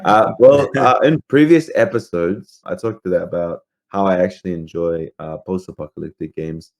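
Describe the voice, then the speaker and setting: A man's voice: deep voice, normal speed. A man co-hosting a podcast, talking casually about games and films. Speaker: podcast co-host.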